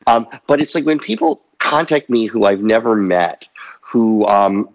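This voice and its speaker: A man in his fifties, a guest comedy writer, chatting casually on a podcast with halting restarts and dry self-deprecating humor. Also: on the low side, lively intonation, muffled recording. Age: 50s.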